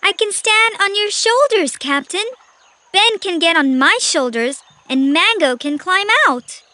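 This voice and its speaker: A woman's voice: extremely high pitch, expressive, unhurried, pushed and bright, no room sound, exaggerated voice. A cheerful young cartoon girl. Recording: cartoon with music behind.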